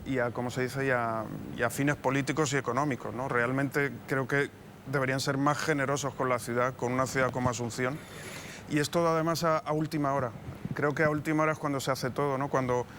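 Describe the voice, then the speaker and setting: A man's voice: medium pitch, a bit bright, a little hiss or hum. A man co-hosting a studio panel discussion, talking in hesitant restarts.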